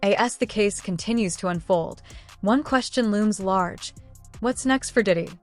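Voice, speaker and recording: A woman's voice: medium pitch, a little slow, soft background music. A woman reading a dramatic scripted news narration.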